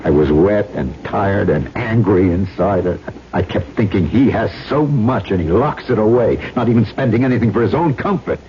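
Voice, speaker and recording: A man's voice: fairly low pitch, moderate pace, natural voice. Radio mystery role: poor, beleaguered young man, played by a male actor, pleading and resentful, on a dull, noisy old recording. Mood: brooding, dark. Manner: hesitant, pleading, repeats his words. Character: poor, put-upon young nephew, earnest and resentful.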